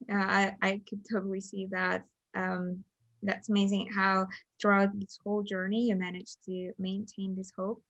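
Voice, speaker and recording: Flat-voiced woman hosting a webinar. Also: mid-range voice, moderate pace, no echo, highs cut off. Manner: friendly, filler-heavy questions. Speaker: webinar host. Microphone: video call.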